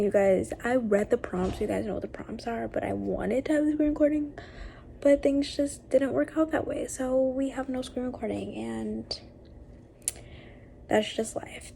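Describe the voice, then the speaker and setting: A woman's voice: a little high, creaky, dark tone. A sick, congested woman recording a casual voice-over aside while editing, chatty and mildly exasperated. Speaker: video editor voice-over. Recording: faint background noise.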